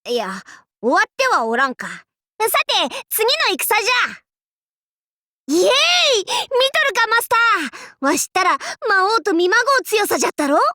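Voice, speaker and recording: A woman's voice: extremely high pitch, sing-song, normal speed, pushed and bright, no echo, studio quality, exaggerated voice. A woman voicing a boisterous female demon king warlord in a Japanese anime game, speaking in a haughty, archaic, theatrical way. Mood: grave, then triumphant, boastful. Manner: haughty old-fashioned lordly speech, theatrical battle cries.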